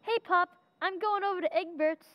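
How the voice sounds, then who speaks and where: Woman acting very high-voiced young boy. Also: moderate pace, highs cut off, noisy background. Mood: offhand. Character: casual, dismissive young son. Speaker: radio drama actor. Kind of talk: old-time radio comedy acting.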